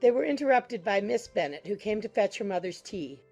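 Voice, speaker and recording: A woman narrates a classic novel. Her voice sits at a medium pitch, delivering formal scripted prose as quiet music plays behind. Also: lively intonation, fairly quick. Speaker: audiobook narrator. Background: soft background music.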